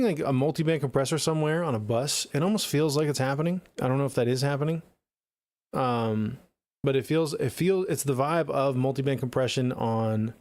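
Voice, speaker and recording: A male livestream host with a mid-pitched voice, recorded close and very dry. He chats casually and gives feedback on music in a laid-back, slangy way, often addressing his listeners directly. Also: darkish tone, dry recording. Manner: laid-back slangy talk, addressing viewers as dude and gang.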